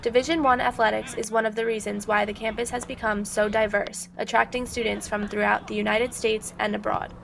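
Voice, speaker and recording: Female student reporter, scripted voice-over, noticeable vocal fry, faint noise underneath. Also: medium pitch, little pitch movement, fairly quick, hardly any pauses, fairly bright tone. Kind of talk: scripted news package narration.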